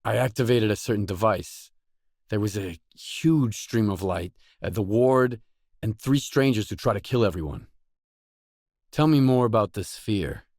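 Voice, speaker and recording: An actor playing a guarded, dry, tough stalker mercenary in a video game, with terse, blunt delivery. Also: male voice, lowish voice, expressive, measured pace, darkish tone, dry recording. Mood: wary, probing. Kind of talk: video game voice acting, isolated dialogue lines.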